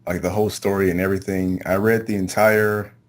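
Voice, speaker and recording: Deep-voiced man in casual conversation. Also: little pitch movement, a little fast. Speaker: amateur voice actor being interviewed. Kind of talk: casual interview chat.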